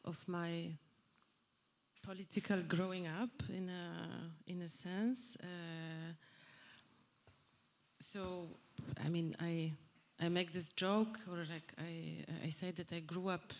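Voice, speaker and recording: Woman, slow, hesitant public question, lowish voice. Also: level tone. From Poland. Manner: halting, many fillers and restarts. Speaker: audience member asking a question. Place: theatre hall at a public event.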